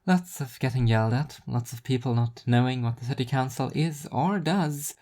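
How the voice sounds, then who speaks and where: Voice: mid-range voice, normal speed, mellow, natural voice. A performer voicing a wry, self-deprecating woman with a dry, smiling irony.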